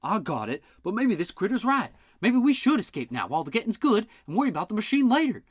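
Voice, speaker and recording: A narrator voicing an eager, plainspoken man who talks in quick, excited bursts. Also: medium pitch, breathy edge, dry recording, clean studio sound, pitched above the performer's own voice.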